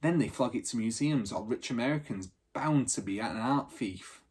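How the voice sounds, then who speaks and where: Blunt, husky boy gang leader done by a man. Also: mid-range voice, moderate pace, a little mellow, close to an everyday voice. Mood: suspicious.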